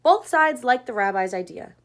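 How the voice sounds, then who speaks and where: Expressive woman reading a storybook aloud. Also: a little high, moderate pace. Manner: expressive, acting out characters' lines. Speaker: children's book reader.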